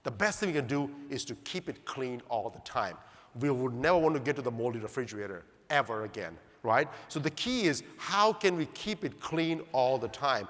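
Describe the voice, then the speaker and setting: Animated man speaking in a reverberant hall. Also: medium pitch, moderate pace. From Zambia. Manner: animated, asks the audience rhetorical questions. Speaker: speaker at a longevity conference. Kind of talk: conference talk. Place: large conference hall.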